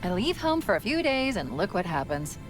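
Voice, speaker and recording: An actress voicing a sharp-witted, dry female ship AI in a video game cutscene, brisk and wry. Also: medium pitch, big pitch swings, music in the background, close to an everyday voice. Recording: voice over loud game score.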